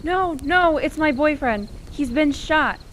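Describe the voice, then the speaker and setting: Actress as frantic young woman, high pitch, slow drawn-out pleading, radio play, crickets behind. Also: expressive, a little hiss or hum. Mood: desperate, pleading.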